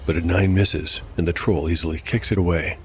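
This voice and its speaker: A man's voice: low voice, fairly even intonation, brisk. A man narrating a solo tabletop game as game master, moving between dramatic storytelling and wry asides about the dice. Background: background music.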